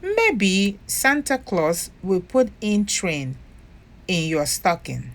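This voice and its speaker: Caring storybook woman, acted by a female reader: gentle, coaxing, very unhurried, animated pitch. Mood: comforting. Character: caring woman looking after her little bear.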